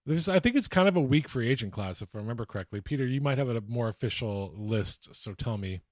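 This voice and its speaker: A man with a mid-pitched voice chats casually about sports as a podcast co-host. His talk is informal and slangy, and the sound is close and dry. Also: animated, fast, no echo.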